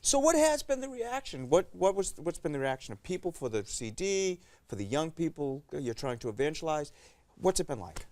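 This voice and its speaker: A man's voice: fairly high pitch, sing-song, moderate pace, darkish tone. A man hosting a religious talk show who gushes enthusiastic praise for his guests. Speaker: TV host. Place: TV studio.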